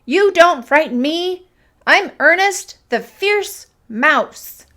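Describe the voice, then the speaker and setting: A woman voicing a small, fierce, boastful male mouse in a children's storybook, loud and emphatic. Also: sits high, very animated, measured pace, full character voice. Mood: fierce, scolding. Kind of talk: children's storybook read-aloud.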